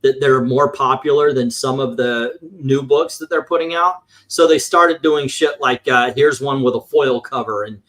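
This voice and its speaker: A man hosts a relaxed, informal chat. His voice sits at a middling pitch, and he speaks bluntly, swearing freely. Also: moderate pace, few pauses. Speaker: comics show host and shop dealer. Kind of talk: casual chat.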